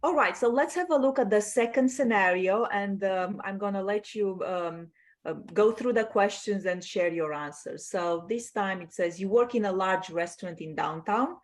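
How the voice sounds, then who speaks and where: A woman's voice: medium pitch, moderate pace. A woman from Romania teaching an online class over a video call, explaining patiently and checking that her students follow.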